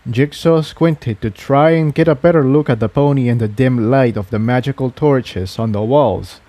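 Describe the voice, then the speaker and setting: A man reading an adventure story aloud as an expressive scripted narrator. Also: mid-range voice, normal speed, no echo. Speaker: fan fiction reader.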